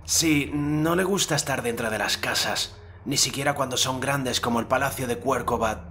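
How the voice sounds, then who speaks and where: A man voicing a calm, reserved young hunter in an audiobook reading. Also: mid-range voice, slight background noise, pitched above the performer's own voice. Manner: soft, measured, restrained.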